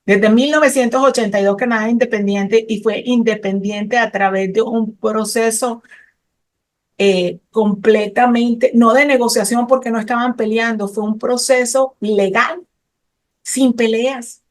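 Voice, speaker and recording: A woman's voice: a little high, steady tone, unhurried. A middle-aged woman from Colombia, talking passionately and technically about immigration law in an interview, with frequent hesitant fillers. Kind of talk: explanatory interview talk.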